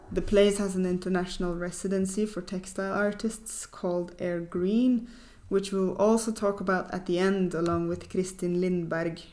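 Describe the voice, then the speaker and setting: A woman hosting an interview, introducing the guest in a clear, measured way. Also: on the low side, mellow. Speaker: interviewer, host. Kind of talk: hosted conversation. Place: small farmhouse room, indoors.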